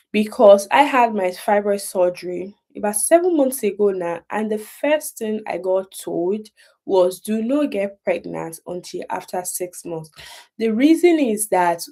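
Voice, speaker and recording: A woman's voice: medium pitch, dark tone. A woman with a Nigerian accent hosting a casual live explainer, talking emphatically, repeating key points and checking in with her listeners. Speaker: health talk host.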